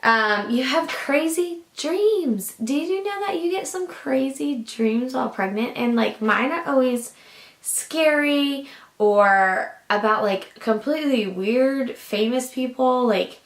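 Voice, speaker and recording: A young woman vlogging casually to camera, rambling with lots of fillers, her voice raspy and groggy from a lingering cough. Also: sits high, lively intonation, slow pace. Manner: chatty, rambling, lots of likes and ums. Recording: echoey room.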